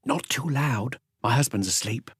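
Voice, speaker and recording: A man's voice: medium pitch, measured pace, fairly bright tone, breathy edge, dry recording, clean studio sound. A man voicing a young, affable female concierge in an audiobook, polite, chatty and matter-of-fact. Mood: hushed. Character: young, affable woman concierge, helpful and soft-spoken. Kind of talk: audiobook character dialogue.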